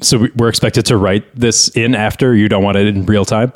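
A man's voice: fairly low pitch, steady tone, fairly quick. A man hosting a podcast in casual banter, skeptical and full of questions. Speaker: podcast host.

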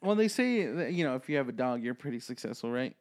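Casting: male podcast co-host in his twenties, Midwestern accent, average pitch, loose joking chat with plenty of cursing. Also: big pitch swings, fast talker. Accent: Midwestern American. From American Midwest. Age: 20s. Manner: joking, swears freely. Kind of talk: casual comedic podcast chat.

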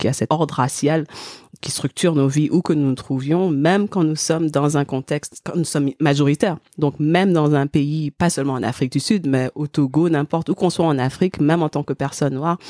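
A woman's voice: low-pitched, warm tone, dry recording. A woman co-hosting a conversational podcast, thoughtful and explanatory. She often stops to rephrase her point as she speaks. The sound is close and dry, with no room around it. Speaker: podcast co-host.

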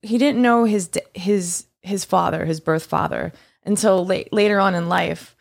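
A woman's voice: mid-range voice, normal speed, vocal fry. A woman from East Texas chatting casually on a podcast, telling a rambling story with lots of restarts. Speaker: guest co-host.

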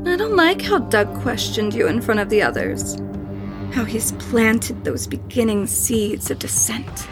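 Woman acting a slow, condescending occult spirit woman. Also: on the high side, sing-song. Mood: ominous, knowing. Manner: slow, taunting, insinuating. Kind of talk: horror audio drama acting. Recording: voice over prominent background music.

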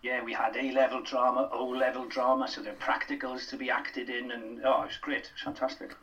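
A man's voice: medium pitch, little pitch movement, a little fast, pushed and bright, distant mic, muffled recording. A middle-aged Welsh man, a drama lecturer, chatting as a podcast guest with many fillers and restarts. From Wales. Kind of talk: casual podcast interview.

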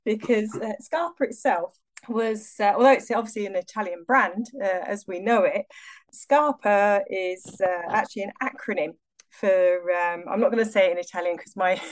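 A woman's voice: medium pitch, moderate pace. A woman co-hosting a podcast in a casual, chatty and effusive style with emphatic wording. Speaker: podcast co-host. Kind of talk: casual chat.

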